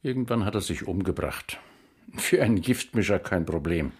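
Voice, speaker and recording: Casting: an offhand prison commandant for radio crime drama, deep, slightly hoarse-edged voice, terse delivery. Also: male voice, expressive, moderate pace, darkish tone. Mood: curt, offhand. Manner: terse, matter-of-fact.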